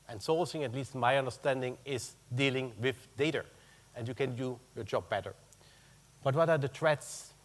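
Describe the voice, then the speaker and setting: A man's voice: medium pitch, fairly bright tone. German-accented man presenting, wry and chatty. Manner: conversational, adds "huh?" tags to check in with the audience; non-native English phrasing, wry asides. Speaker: conference speaker, purchasing executive. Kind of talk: business presentation with slides. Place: conference room.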